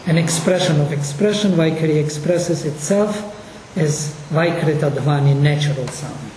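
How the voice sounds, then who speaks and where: Brief: Russian-accented linguistics lecturer, mellow tone, slow and deliberate delivery, echoey hall with faint room hum. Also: medium pitch. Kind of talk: course lecture. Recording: echoey hall, mic at a distance.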